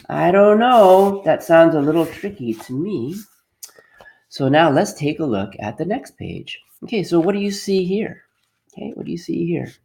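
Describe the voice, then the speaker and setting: A man reading a storybook aloud as a teacher, expressive and pausing to put questions to pupils. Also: high voice, creaky.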